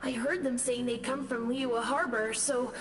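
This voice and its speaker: Innocent little boy spirit for an anime game, played by a woman, high-pitched, timid, sincere. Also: fairly even intonation, measured pace, a little hiss or hum, lightly acted. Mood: earnest. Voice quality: childlike. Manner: earnest, apologetic.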